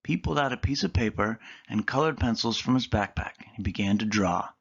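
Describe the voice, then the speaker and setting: A man reading a children's picture book aloud with expressive storytelling. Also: fairly low pitch, fairly quick, vocal fry, husky, dull audio.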